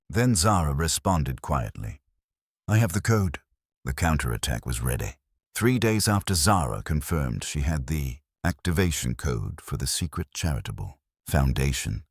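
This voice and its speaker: A man reading a scripted dramatic story as narrator, in a measured, solemn manner. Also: extremely low pitch, breathy edge, no echo, studio quality.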